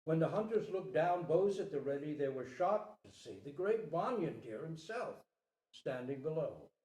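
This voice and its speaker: A man's voice: on the high side, very animated, dark tone. A man giving a dramatic, emphatic storytelling reading of a parable, drawing out his phrases. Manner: theatrical, emphatic, with drawn-out phrases. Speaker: storyteller, Zen teacher. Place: reverberant room at a retreat talk. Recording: roomy, echoing live recording.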